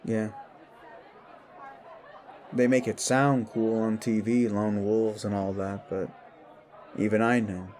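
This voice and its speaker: A man's voice: lowish voice, level tone, slow, pauses often, a little mellow, some chatter behind. A man voicing a newly turned werewolf bully in an audio drama, brash and crude but unsure of himself, talking in casual slang. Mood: uneasy, sheepish. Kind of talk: audio roleplay dialogue.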